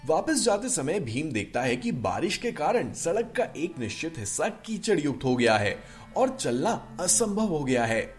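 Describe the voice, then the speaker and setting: A man narrating a scripted children's story in an expressive storytelling manner. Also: on the high side, a little slow, soft background music.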